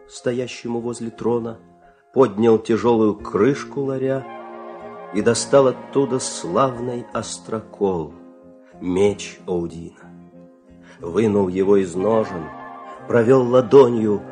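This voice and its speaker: Expressive male fairy-tale narrator. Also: fairly low pitch, a little slow. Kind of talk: scripted fairy-tale narration, dramatic storytelling. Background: music.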